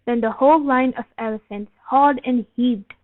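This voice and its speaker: A woman reading a children's picture book aloud in an expressive storytelling style. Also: fairly high pitch, moderate pace, noisy background. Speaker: storybook reader. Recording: phone-like thin band, dry.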